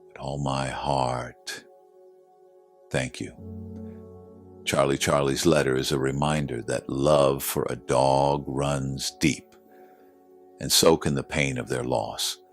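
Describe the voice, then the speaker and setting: Male presenter, very deep register, solemn and sincere scripted letter reading, light music underneath. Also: level tone, slow, pauses often. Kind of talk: slow scripted emotional narration. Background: background music.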